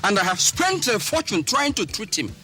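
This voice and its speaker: A man's voice: high-pitched, sing-song, moderate pace, bright, forceful tone, faint music behind, exaggerated voice. An actor playing a genial village man who talks in a jovial, laughing, talkative way. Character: genial village man, self-described man of peace.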